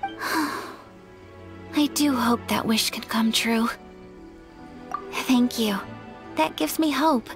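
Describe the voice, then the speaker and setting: Game heroine: refined, earnest young noblewoman, high register, gentle courtly delivery. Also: drawn-out words, pauses often. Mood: earnest, tender. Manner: formal, polite, gentle. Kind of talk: animated game voice acting. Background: music.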